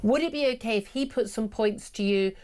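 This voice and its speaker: A woman hosting a talk radio show, pressing a guest with quick, pointed questions and cutting in. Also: medium pitch, darkish tone. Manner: fast, pushy interrupting questions. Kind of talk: live talk radio interview.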